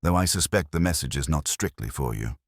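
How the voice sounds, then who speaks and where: A mild, perceptive inspector from a mystery story as a male narrator plays him. He speaks very low and gently, with wry understatement, in a dry, close recording. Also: lively intonation, fairly quick, dry recording. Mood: mild, knowing.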